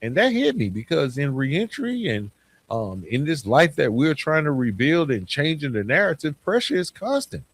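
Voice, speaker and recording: A man hosting a podcast, talking solo in a reflective, plain-spoken way with emphatic pauses and conversational asides. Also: on the high side, big pitch swings. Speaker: podcast host.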